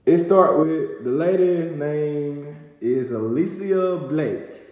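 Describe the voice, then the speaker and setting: A man's voice: a little high, slow pace, dark tone. A young man recapping news to camera, reading aloud with stumbles and fillers, in a small room at home on a phone camera. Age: young adult. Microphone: phone camera at arm's length. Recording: echoey room with long reverb.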